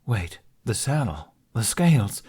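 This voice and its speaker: A man reading a fan fiction story aloud with steady, expressive storytelling. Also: lowish voice, unhurried, husky. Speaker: podfic reader. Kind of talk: fanfiction audiobook narration.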